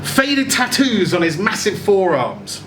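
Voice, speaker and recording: A man giving an expressive live reading of a first-person short story. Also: high voice, slow. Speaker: story reader. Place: indoor event venue with audience. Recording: echoey room, clear background noise.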